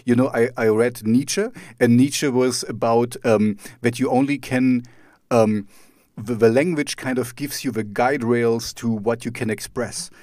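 A man from East Germany hosts an interview in English with a clear German accent. He circles his questions with fillers and false starts. His voice sits close and dry with no room echo, at an ordinary pitch for a man. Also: fairly even intonation, a little mellow. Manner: rambling, halting questions full of restarts and fillers. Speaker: podcast host. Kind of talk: conversational interview.

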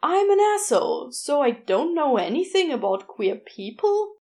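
Brash, cranky male shop owner for story audio, female performer: blunt, exasperated, very high in pitch. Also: sing-song, a little slow, darkish tone, exaggerated voice. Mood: defensive, blunt. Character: brash, irritable, self-admitted jerk of a male shopkeeper.

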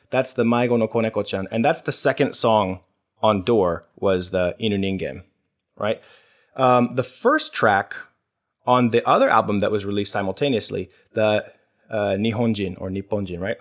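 A man hosting a casual podcast chat, explaining things in a measured way and thinking aloud as he goes. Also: fairly low pitch. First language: English. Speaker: podcast host.

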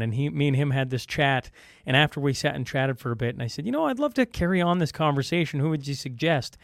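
A man hosting a podcast, asking questions in a halting way with lots of fillers and restarts. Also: on the high side, dry recording, clean studio sound. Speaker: podcast host. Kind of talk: casual interview talk.

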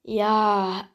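A woman improvising a homemade audio play, chatting casually and hesitantly straight to the listeners. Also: mid-range voice.

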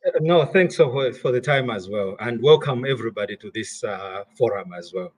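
A man's voice: mid-range voice, animated, moderate pace, slightly rough. A middle-aged man from Malawi, a guest in an interview, who often repeats words while gathering his thoughts. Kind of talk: interview conversation.

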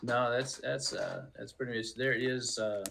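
A middle-aged man co-hosting a faith podcast, talking casually and haltingly as he searches for words. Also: mid-range voice, vocal fry, fairly bright tone, a little hiss or hum. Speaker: podcast co-host.

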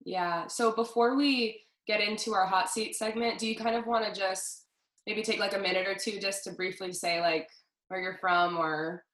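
A woman's voice: medium pitch, steady tone, normal speed, fairly bright tone, roomy sound. A woman in her twenties from Southern California, co-hosting a podcast in an upbeat, casual way. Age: 20s. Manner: upbeat, friendly, lots of fillers. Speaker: podcast co-host. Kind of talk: casual chat.

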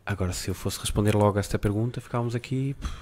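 A middle-aged man from Spain with a mixed Spanish and Portuguese accent, speaking as a guest and reflecting in a relaxed way. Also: lowish voice, rapid-fire. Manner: reflective, many fillers and restarts. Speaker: guest photographer. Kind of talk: casual interview talk.

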